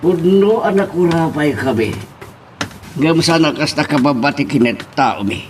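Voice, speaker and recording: A man's voice: fairly high pitch, lively intonation, moderate pace, a little hiss or hum, lightly acted. Stern, proud poor father, slightly distant man acting. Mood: stern, offended. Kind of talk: Ilocano romantic radio drama acting.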